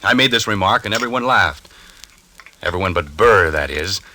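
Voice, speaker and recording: An irritable, obsessive husband in a vintage 1940s radio drama. His low-pitched voice is very sharp and forceful, pressing each point with testy, defensive insistence. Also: animated, moderate pace, no room sound, exaggerated voice. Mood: agitated, indignant.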